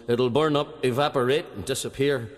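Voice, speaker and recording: A man's voice: mid-range voice, steady tone, moderate pace, long reverb tail, lightly acted. A man voicing a dry, matter-of-fact friend at the pub. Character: wry man at the pub, quick with a quip.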